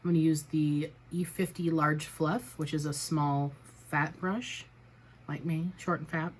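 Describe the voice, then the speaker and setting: A woman vlogger chatting casually through a makeup tutorial, a little raspy from a cold, narrating each step with self-deprecating remarks. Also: deep voice, steady tone, unhurried, background noise. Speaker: beauty vlogger.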